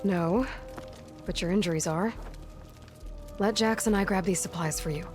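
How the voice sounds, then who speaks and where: Game cast: a woman as a young hunter, lowish voice, direct and practical. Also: moderate pace, music in the background, natural voice. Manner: direct, matter-of-fact.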